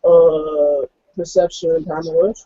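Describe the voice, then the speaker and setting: A man's voice: a little high, level tone, unhurried, mellow, lo-fi sound, a little hiss or hum. A man playing a tabletop roleplaying game, casually narrating what his character does.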